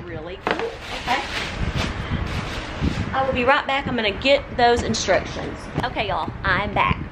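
A middle-aged woman, casually narrating a home vlog in an informal, halting, think-aloud way. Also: on the high side, lively intonation, very noisy. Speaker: home vlogger. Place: living room of a home, indoors. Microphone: camera mic on a tripod nearby.

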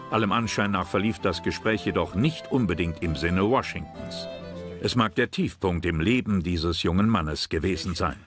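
A man narrates a documentary in a fairly low voice. His delivery is scripted storytelling, with clear music running underneath. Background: background music.

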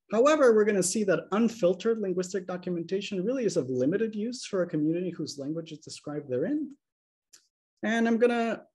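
A man's voice: on the high side, a little mellow. Man giving an academic slide lecture. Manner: measured, explanatory, checks in with "okay?". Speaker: linguistics lecturer. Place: small room indoors. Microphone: video call webcam.

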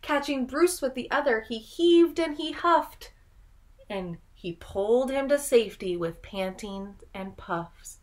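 Slow, high-voiced young woman reading to children. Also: expressive. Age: young adult. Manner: dramatic, drawn-out delivery with gasps and prompting questions. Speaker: speech therapist reading a picture book aloud. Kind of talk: expressive children's read-aloud with questions to the listener. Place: small room at home, indoors.

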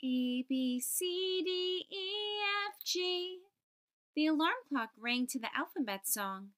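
A woman hosting storytime, reading a children's picture book aloud and enunciating deliberately for young listeners. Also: high voice, expressive, fairly bright tone, faint music behind. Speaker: storytime host.

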